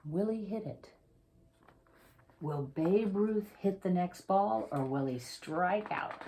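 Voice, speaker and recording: Female read-aloud storyteller for a kids' picture book: low voice, unhurried pace, dramatic emphasis. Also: dark tone, slight background noise. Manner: theatrical, emphatic, drawn-out suspenseful phrasing. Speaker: children's author reading own picture book aloud.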